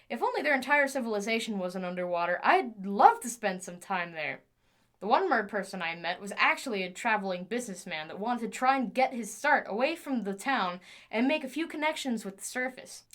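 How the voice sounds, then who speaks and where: A high-pitched, unhinged lonely scientist. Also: female voice, fairly bright tone. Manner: theatrical, chatty, intense, volatile. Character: obsessive, lonely, unhinged female scientist tinkerer. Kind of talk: audio drama monologue.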